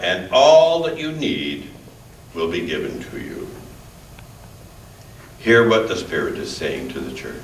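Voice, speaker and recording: Low-voiced man reading slowly in church. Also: a bit bright. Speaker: scripture reader at a church service. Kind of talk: solemn scripture reading with short introductions. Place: indoors in a church. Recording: roomy church, distant mic, faint noise.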